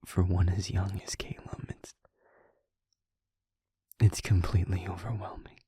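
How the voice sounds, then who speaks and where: A man playing an exhausted, gentle protector who talks in a soft, breathy, slow whisper. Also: sits low, flat delivery, dry recording, exaggerated voice. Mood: tired. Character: weary, gentle male protector, calm. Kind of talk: audio roleplay acting.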